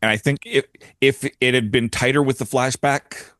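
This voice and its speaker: A man's voice: medium pitch, fairly even intonation, moderate pace, fairly bright tone, no echo, clean studio sound. A man speaking as a podcast guest in casual review chat.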